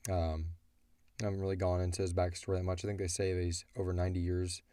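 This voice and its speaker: A man's voice: sits low, flat delivery, fast, dark tone, dry recording, clean studio sound. A man answering listener questions as an audio drama creator, talking casually and bluntly as he thinks aloud.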